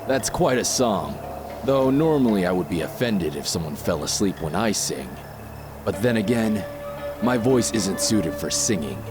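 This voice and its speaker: A swaggering masked thief for an audio drama. He taunts theatrically in a lowish voice that swings widely in pitch, with loud music behind him. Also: very animated, a little slow. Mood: smug taunting. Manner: mocking, theatrical, laughing at foes.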